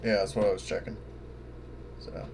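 A man's voice: lowish voice, flat delivery, moderate pace, background noise. A man chatting casually as a player in an online tabletop role-playing game, often speaking in character. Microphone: online voice call.

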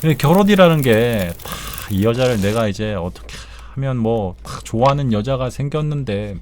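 A man's voice: mid-range voice, lively intonation, moderate pace, a little mellow, a little hiss or hum. A man in his forties, a game streamer, chatting casually with viewers in a joking, rambling way with blunt swearing. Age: 40s. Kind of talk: casual live-stream chat while gaming.

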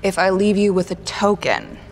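A woman casually role-playing as a player in a tabletop game, haltingly thinking aloud as she describes her actions. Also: mid-range voice, big pitch swings, slight background noise.

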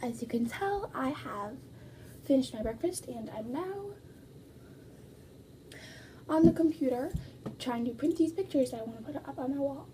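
Teen girl vlogging on her phone, high voice, kinda hoarse, lots of um and like. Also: animated, moderate pace, pauses often, warm tone, slight background noise. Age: teens. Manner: chatty, lots of fillers, upbeat. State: slightly hoarse, losing her voice. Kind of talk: casual vlog. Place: house, indoors and on a back deck. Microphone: phone camera at arm's length.

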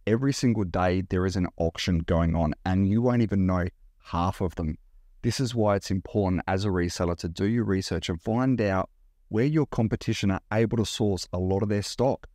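A man in his forties with an Australian accent, talking straight to camera about reselling in a blunt, animated way. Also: low-pitched, fairly quick, no room sound, clean studio sound. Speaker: reseller vlogger. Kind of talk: direct-to-camera vlog talk. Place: indoor room by large window.

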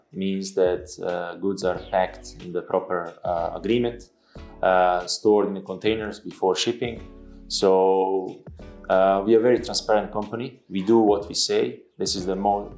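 Male executive guest, Serbian accent, low register, halting delivery, studio interview over faint music. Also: darkish tone. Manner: halting, hesitant delivery with repeated words. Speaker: company CEO, interview guest. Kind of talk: interview answers about his business. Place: indoor studio at a desk. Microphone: clip-on lapel mic. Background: background music.

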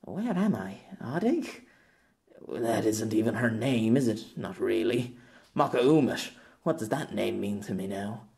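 A man voicing a confused, grumbling middle-aged novelist in an audiobook, halting and repeating his words. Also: lowish voice, expressive, gravelly, a little mellow, pitched below the performer's own voice. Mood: confused, searching.

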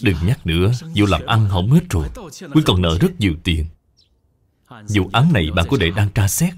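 A man's voice: on the low side, sing-song, normal speed. A man voicing a weary, troubled merchant, the grave elder brother in a dubbed cartoon. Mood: dejected.

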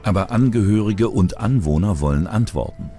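A man's voice: on the low side, animated, moderate pace, warm tone, soft background music. A man narrating a scripted true-crime documentary.

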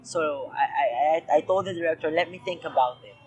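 A man's voice: a little high, fairly quick, a little mellow, husky, rough recording. A young Filipino man with a Filipino accent, speaking in an interview about his acting. He talks earnestly, restarts his sentences often and mixes Tagalog with English. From Philippines. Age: young adult. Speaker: actor. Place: indoors in a cinema with red seats.

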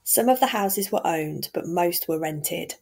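A woman's voice: on the low side, animated, measured pace, vocal fry, a little mellow. A woman from England narrating a walking tour in a friendly, chatty, enthusiastic way. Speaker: vlogger and tour guide.

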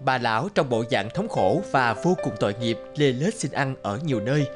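A man tells a folk tale as a narrator. His delivery is dramatic and highly animated in pitch, with music playing clearly behind him. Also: moderate pace. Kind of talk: storybook narration.

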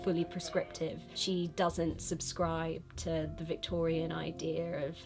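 A woman's voice: sits low, monotone, unhurried. A young British woman, an actress, chatting casually in an interview. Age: young adult. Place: studio room, indoors. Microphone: lapel mic. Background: music.

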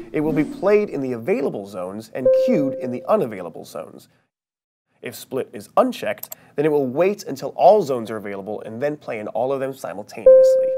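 A man in his thirties narrating a scripted software training tutorial, explaining step by step with emphasis on key terms. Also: medium pitch. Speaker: training video presenter.

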